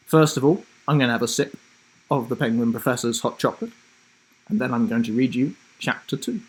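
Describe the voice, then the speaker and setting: A man chatting and reading a story to children as host of a live broadcast, speaking deliberately in short emphatic phrases. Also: mid-range voice, breathy edge, rough recording. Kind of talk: friendly instructional chat and storybook reading for children.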